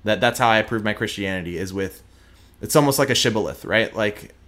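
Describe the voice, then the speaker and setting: A man's voice: lowish voice, a little fast, a bit bright. Earnest man with a slight Texas twang. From Houston, Texas. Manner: earnest, emphatic, restarts mid-sentence. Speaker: guest, prosecutor. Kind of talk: candid interview conversation.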